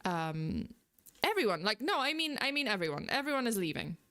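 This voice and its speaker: Woman, Polish accent, smooth clear voice, loose rambling commentary style. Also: mid-range voice, sing-song, a little fast, bright, forceful tone. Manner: rambling, laughs often, many fillers. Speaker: VTuber commentator. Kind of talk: casual commentary.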